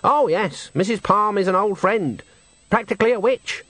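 A man reading aloud and voicing a stern old woman witch who speaks calmly and dryly. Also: high-pitched, moderate pace, dry recording, pitched above the performer's own voice. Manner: calm, dry, knowing.

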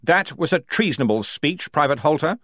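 A man's voice: on the high side, expressive, brisk, fairly bright tone, no echo, studio quality, pitched above the performer's own voice. A man doing a stiff, fussy army lieutenant. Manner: stiff, formal, hesitant. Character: awkward, pompous young male army lieutenant.

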